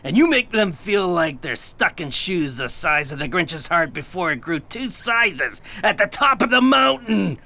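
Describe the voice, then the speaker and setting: An irritable agency chief in a comic audio drama, blustering and rambling. The voice is highish for a man, forceful and creaky, with an eccentric, exasperated air. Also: very animated, measured pace, few pauses, full character voice. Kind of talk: comic sci-fi audio theatre acting.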